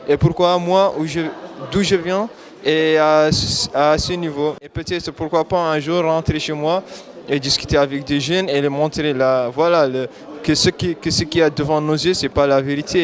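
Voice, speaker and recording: A man recounting his move abroad in a reflective, hesitant interview, searching for words. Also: a little high. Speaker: migrant interviewee.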